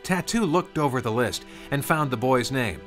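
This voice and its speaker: A man's voice: medium pitch, expressive, moderate pace, a bit bright. A man reading scripted storybook narration of a Christmas tale. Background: music.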